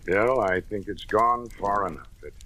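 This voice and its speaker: A man's voice: on the low side, a little slow, pushed and bright. An actor playing a dignified, guarded middle-aged lawyer and father in an old-time radio drama, formal and authoritative. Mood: grave, resigned. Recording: noisy vintage radio drama recording.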